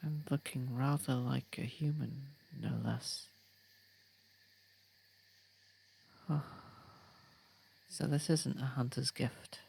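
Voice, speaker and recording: A man playing a posh, gentle, protective vampire in an ASMR roleplay, soft-spoken and often dropping into a whisper, with formal wording. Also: mid-range voice, fairly even intonation, slow pace, creaky, slight background noise. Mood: concerned. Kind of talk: ASMR roleplay audio drama.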